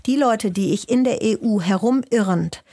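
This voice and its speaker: A woman reporter recounting her reporting in a calm, reflective way, as a guest on a podcast. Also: medium pitch, moderate pace, no room sound, clean studio sound. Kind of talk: podcast conversation and narrated reportage.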